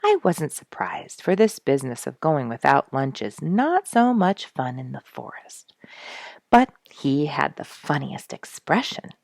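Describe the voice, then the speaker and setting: A woman reading a story aloud as an expressive, deliberate narrator. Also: deep voice, gravelly, no echo.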